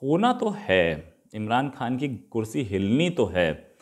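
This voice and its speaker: A man's voice: mid-range voice, huge pitch swings, slow pace. A man with an Indian accent giving unscripted, opinionated news commentary as a host, in an argumentative way full of rhetorical questions. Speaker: host commenting on current affairs.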